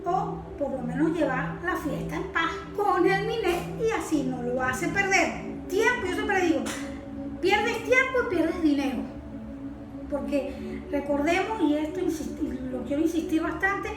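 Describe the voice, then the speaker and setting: High-voiced Venezuelan woman explaining things. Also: animated, measured pace, background noise. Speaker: interview guest, environmental consultant. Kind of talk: informal explanatory interview. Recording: echoey room, distant mic, music behind.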